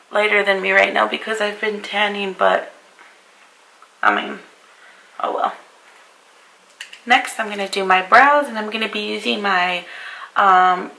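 A young woman talks through her makeup routine at home, her voice worn hoarse and raspy by a cold. It carries a deep, gravelly fry yet stays bright and forward. She speaks casually to the camera and keeps apologizing for how she sounds. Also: low-quality recording. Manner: chatty, apologetic about her voice. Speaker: beauty vlogger. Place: bedroom vanity, indoors.

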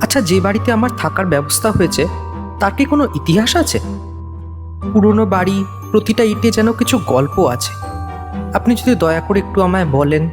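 Male narrator, high voice; tense, hushed first-person horror delivery. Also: lively intonation, a little fast, pauses often, over music. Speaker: narrator and lead teacher.

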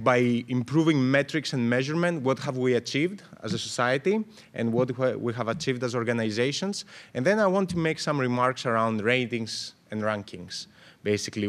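A man in his thirties, originally from Greece, speaks at a conference panel into a table microphone on a stand. His talk is loose and unscripted, full of "um"s, restarts and lively hand-waving, at a middling pitch for a man. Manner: animated, gestures a lot, many fillers and restarts. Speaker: panel moderator. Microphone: table mic on stand.

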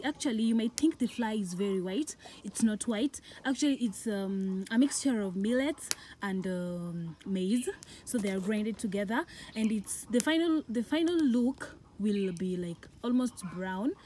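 A woman's voice: medium pitch, expressive, measured pace, warm tone. A young woman with an East African accent casually demonstrates cooking for a vlog, recorded outdoors. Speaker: cooking vlogger. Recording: noisy outdoor phone recording.

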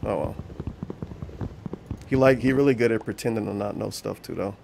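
A man's voice: on the low side, normal speed, vocal fry, a little mellow, a little hiss or hum. A man giving teasing running commentary over a stream, laughing often and reading chat aloud.

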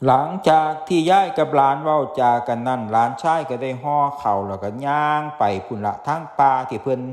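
A man's voice: mid-range voice, a little fast, darkish tone. A man with a northeastern Thai Isan accent narrating a folk tale in a folksy way, speaking straight to his listeners. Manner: folksy, addressing listeners directly. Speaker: storyteller. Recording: echoey reverberant sound with music behind. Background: music.

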